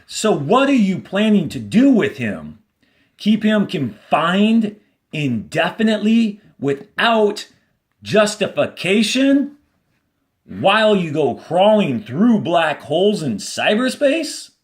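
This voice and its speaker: A man's voice: high-pitched, very animated, slow pace, voice pushed up, exaggerated voice. A man voicing a persistent, sardonic woman lawyer in a storybook, with pointed, questioning delivery. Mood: indignant.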